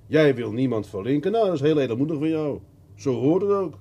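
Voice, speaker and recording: Wry seasoned police detective, swooping intonation. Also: male voice, on the high side, lively intonation, mellow, husky. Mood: slyly probing. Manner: deliberate, repeats words, mock-agreeable questioning. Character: seasoned male police detective, wry, patiently probing, world-weary. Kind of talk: radio drama acting.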